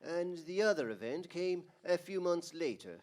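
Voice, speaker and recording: Man as animated, high-pitched head angel. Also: normal speed. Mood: reminiscing. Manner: avuncular, instructive. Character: benevolent, authoritative male superintendent of angels. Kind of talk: old-time radio drama acting.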